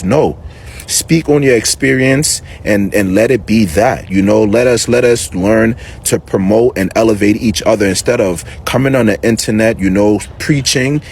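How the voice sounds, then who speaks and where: Low-voiced man pleading earnestly. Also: gravelly, a little mellow, a little hiss or hum. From born in Liberia, raised in New York City. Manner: pleading, repeats himself, many fillers. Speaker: reaction video host. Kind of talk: earnest commentary to camera.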